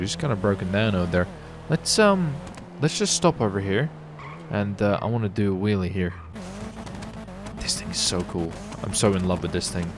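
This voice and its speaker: A man commentating his roleplay gameplay, excitable and hyped, his voice jumping when something surprises him. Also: fairly low pitch, noisy background. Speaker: gameplay streamer.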